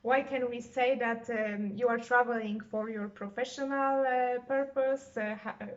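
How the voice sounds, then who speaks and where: A woman's voice: a little high, steady tone, moderate pace. A woman from Poland, hosting an online interview, speaking hesitantly with many fillers and restarts. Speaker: interviewer and channel host. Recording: dull lo-fi video call audio.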